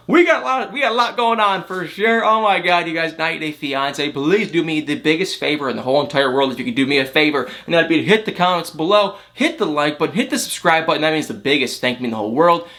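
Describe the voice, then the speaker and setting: A man's voice: a little high, sharp tone. A man hosting a reality TV recap, chatting in a gossipy, exasperated and dramatic way. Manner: exaggerated, exasperated, dramatic retelling with mimicked dialogue. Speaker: host of a reality TV recap channel.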